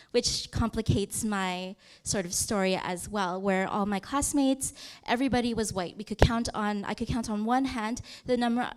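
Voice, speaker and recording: A woman in her thirties presenting on a youth program, talking through slides in a conversational way with frequent fillers and restarts. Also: mid-range voice.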